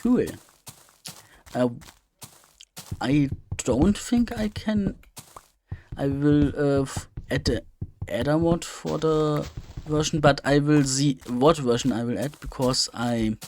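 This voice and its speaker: A man's voice: a little high, takes it slowly, pauses often, a little mellow, a little hiss or hum. A man giving casual, unscripted gameplay commentary, hesitant and rambling as he thinks aloud. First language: German. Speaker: let's play host.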